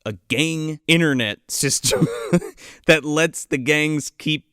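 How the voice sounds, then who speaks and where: A man co-hosting a casual movie review podcast, swearing often and mocking the film with quick asides. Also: fairly high pitch, sharp tone, clean tone, dry recording, clean studio sound. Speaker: podcast co-host.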